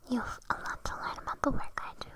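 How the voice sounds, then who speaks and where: A woman voicing a sly, haughty evil witch in an ASMR roleplay, in an unhurried, condescending, breathy whisper with soft menace. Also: close to an everyday voice. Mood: haughty, scolding.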